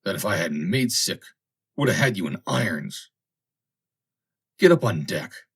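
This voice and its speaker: A man's voice: low voice, big pitch swings, a little slow, a bit bright, breathy edge, exaggerated voice. A man reading an audiobook, playing a hostile, bossy pirate commander with a scornful snarl. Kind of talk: dramatic audiobook character voice.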